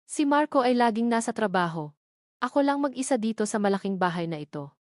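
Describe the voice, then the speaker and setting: A woman's voice: on the high side, animated, a little fast, darkish tone, no room sound, studio quality, close to an everyday voice. A woman voicing a lonely, despairing young mother confiding in her journal, in a sorrowful story narration. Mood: lonely, sad.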